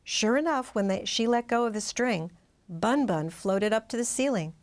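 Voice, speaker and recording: A woman reading a children's storybook aloud in expressive, dramatic narration, drawing out some words. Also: a little high, moderate pace. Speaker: storybook reader.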